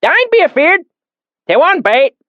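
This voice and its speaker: A man voicing a lean, weathered swineherd in the countryside, using a thick rustic dialect in a high, loud, drawling voice. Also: sing-song, a little slow, dry recording, highs cut off, full character voice. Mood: suspicious. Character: lean weathered rural male swineherd, suspicious then friendly.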